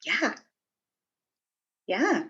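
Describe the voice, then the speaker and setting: Animated woman chatting, slightly muffled. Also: fairly high pitch, extremely slow. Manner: animated, laughs often, code-switches briefly. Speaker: podcast co-host. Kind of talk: casual conversation.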